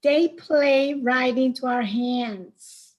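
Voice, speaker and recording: A woman's voice: high voice, extremely slow, lo-fi sound, exaggerated voice. A woman playing a sultry, disdainful femme fatale in a campy noir radio play, languid and theatrical. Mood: breezy, dismissive.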